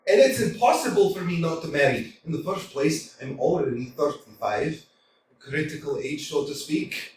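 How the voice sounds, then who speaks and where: A man's voice: fairly high pitch, lively intonation, normal speed, slight background noise, close to an everyday voice. A man playing a flustered, stammering thirty-five-year-old suitor who worries about his health, in a staged play reading recorded from a distance in a roomy hall. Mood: anxious. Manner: stammering, flustered, nervous laughs. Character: nervous anxious 35-year-old suitor, hypochondriac.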